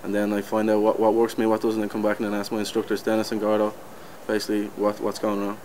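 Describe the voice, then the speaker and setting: Irish man in his twenties, low flat delivery, steady and earnest. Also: fast, slight background noise, low-quality recording. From Ireland. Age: 20s. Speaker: interviewee. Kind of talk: casual interview answers.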